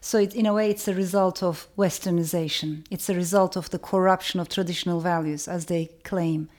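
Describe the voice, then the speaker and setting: A woman from Turkey giving a reflective educational talk, speaking in a measured way and repeating phrases for emphasis. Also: fairly low pitch, steady tone, a little mellow.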